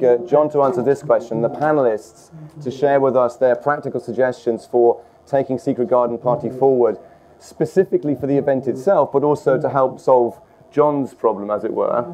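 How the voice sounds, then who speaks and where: A man chairs a live panel discussion. His voice has an average male pitch, and he speaks as a moderator directing the room. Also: a little mellow. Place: festival tent.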